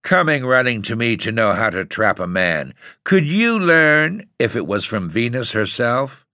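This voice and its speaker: A man voicing a sharp-tongued, bedridden old aunt who speaks with open contempt. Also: mid-range voice, very animated, a little slow, dry recording, studio quality, voice pushed up, heavily acted. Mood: scornful. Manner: contemptuous, commanding.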